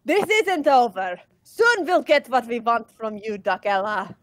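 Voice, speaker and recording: A woman's voice: fairly high pitch, lively intonation, a little slow, no echo, voice pushed down. A woman voicing a boastful, scheming villainous duck sorceress in a comic dub. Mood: menacing. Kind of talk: comic dub live reading.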